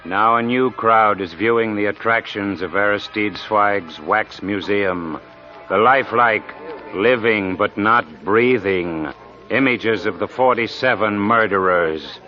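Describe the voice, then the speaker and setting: A man announcing and narrating a 1940s radio mystery in a measured, dramatic way. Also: on the low side, faint music behind. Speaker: show announcer. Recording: dull lo-fi 1940s radio recording.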